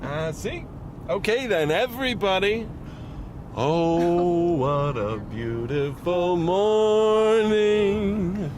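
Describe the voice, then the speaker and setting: A man's voice: sits high, very slow, noisy background. An actor playing an easygoing, cheerful father in an audio drama, talking casually and playfully with his family. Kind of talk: naturalistic audio drama acting.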